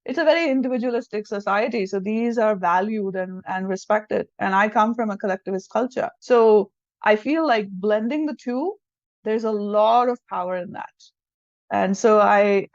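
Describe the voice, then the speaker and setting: A woman from India speaks, her Hindi. She talks earnestly and thoughtfully in an interview, reworking her phrasing as she goes. Her voice sits at a middle pitch and sounds dull and dry, as if recorded lo-fi. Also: dry recording. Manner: reflective, earnest, rephrasing as she thinks. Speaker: self-leadership coach, guest. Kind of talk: conversational interview.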